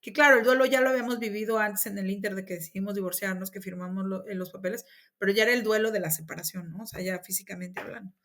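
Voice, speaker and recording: A woman with a Mexican accent telling her own story in a casual conversation, with lively acted-out dialogue. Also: mid-range voice, fast. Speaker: interview guest.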